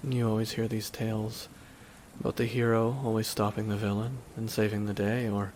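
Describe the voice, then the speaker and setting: A man playing a wry, reflective supervillain in an ASMR audio drama, speaking slowly and softly with wistful pauses. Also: lowish voice, little pitch movement, a little hiss or hum, natural voice, low-quality recording. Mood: wistful, resigned. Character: reflective male supervillain, wry, quietly softened toward his nemesis. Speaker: voice actor in audio roleplay. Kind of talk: ASMR dramatic roleplay monologue. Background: rain.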